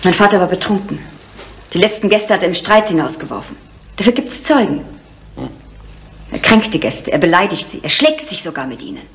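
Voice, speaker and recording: A female actor plays an embittered innkeeper's daughter in a radio crime play. Her voice is fairly low and her delivery curt and matter-of-fact, over slight background noise. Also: animated, a little fast, close to an everyday voice. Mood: sober, bitter. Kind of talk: 1970s German radio crime drama acting.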